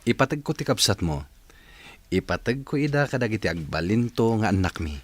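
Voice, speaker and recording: An actor in a radio drama playing an earnest young man courting a woman, gentle and emotive. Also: on the low side, very animated, a little fast, slightly rough. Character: earnest young suitor, Dindo. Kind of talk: broadcast radio drama acting.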